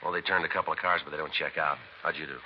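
An actor playing a terse, deadpan American police detective in a low-key 1950s radio drama. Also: male voice, racing. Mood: matter-of-fact. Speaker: police sergeant and narrator. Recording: dull, noisy 1950s radio recording.

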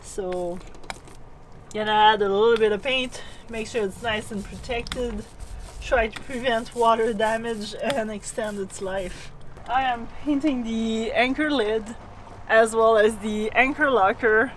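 Slow-talking high-voiced woman on a boat. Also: slight background noise, rough recording. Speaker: vlogger. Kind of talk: casual travel vlog narration. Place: outdoors on a sailboat.

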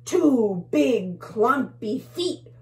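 A woman voicing a menacing bug monster who growls and speaks slowly and loudly. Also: on the high side, lively intonation, pitched above the performer's own voice. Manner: growly, slow and loud.

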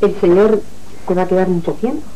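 An actress playing an older, deferential but nosy housemaid in a 1980s telenovela. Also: female voice, lowish voice, fairly quick, lo-fi sound, close to an everyday voice. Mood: polite, nosy. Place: television studio living-room set. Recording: faintly noisy 1980s TV archive tape.

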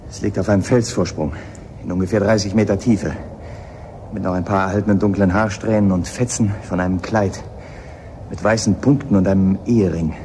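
An actor playing a probing, methodical police inspector in a German radio play, speaking deliberately. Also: male voice, low voice, steady tone, dark tone, lightly acted. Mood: grave, certain. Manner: questioning, deliberate, hesitant openers. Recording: clear background ambience noise.

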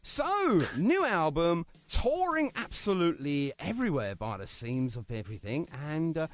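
A man's voice: a little high, big pitch swings, normal speed, dry recording. A man hosting a radio show with a British accent, chatting casually and jokily with a guest, rambling with lots of fillers. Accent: British English. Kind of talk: casual radio interview chat.

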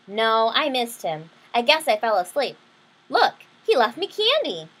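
A woman's voice: a little high, huge pitch swings, a little slow, fairly bright tone, husky, heavily acted. A woman voicing a dramatic, complaining little girl who loves pink and sweets, in a storybook reading. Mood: excited.

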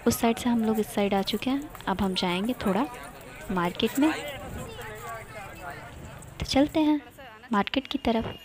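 A woman's voice: mid-range voice, background noise. A young woman from India narrating a casual travel vlog, cheerful and chatty, pointing things out to her viewers. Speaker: vlogger.

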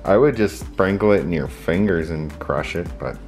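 A man's voice: deep voice, moderate pace, soft background music. A man bantering off camera in a home kitchen, laid-back and joking. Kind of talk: casual banter.

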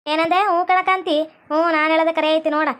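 A woman's voice: very high-pitched, level tone, fast talker, a bit bright. A woman playing chatty, bickering village farm labourers in a cartoon skit, garrulous and colloquial, with a North Karnataka accent. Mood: chatty, boastful.

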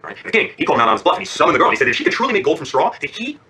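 An affectionate father spinning a bedtime fairy tale. He races along informally with swooping, lively pitch and a husky edge, pausing to check that his listener follows. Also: heavily acted. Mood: animated storytelling. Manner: conversational, peppered with check-in questions. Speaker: storyteller. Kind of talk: animated, informal bedtime storytelling.

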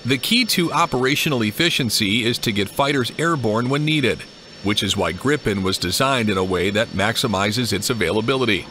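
A man reading a scripted documentary narration in an even, measured way. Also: mid-range voice, slight background noise. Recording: voice-over with clear music behind.

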